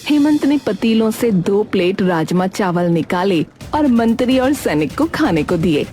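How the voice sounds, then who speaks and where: A woman's voice: medium pitch, lively intonation, measured pace, mellow, faint music behind. A woman narrating a scripted children's moral story.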